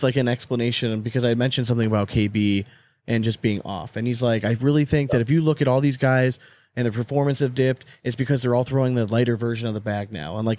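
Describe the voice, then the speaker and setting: A man talks quickly in a dry, close recording, mid-pitched and casual. He hosts a sports podcast with slangy, animated storytelling and loose swearing. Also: dry recording. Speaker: podcast co-host.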